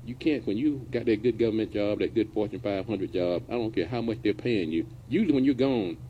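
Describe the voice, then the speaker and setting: A man's voice: lowish voice, a little fast, dull audio, a little hiss or hum. A middle-aged man hosting a business radio show, talking in a folksy, casual way and now and then dropping verbs. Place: radio studio.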